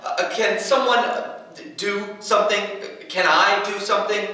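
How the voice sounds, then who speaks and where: An actor in a staged reading playing a nervous, awkward, excitable young man who stammers and gets defensive. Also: high voice, normal speed. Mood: flustered, confused. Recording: very echoey room, distant mic.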